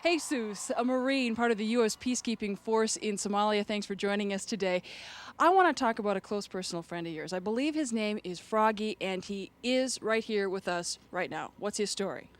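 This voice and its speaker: A woman's voice: medium pitch, a little fast. A woman co-hosting a sports show, interviewing a contender in a light, chatty way. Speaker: co-host, locker room interviewer. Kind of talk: friendly interview questions. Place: locker room.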